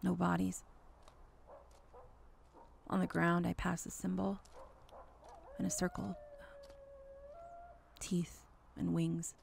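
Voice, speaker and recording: A woman narrating a first-person horror story dramatically, in halting, intense fragments, with a gravelly, creaky voice. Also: lowish voice, flat delivery, darkish tone, a little hiss or hum.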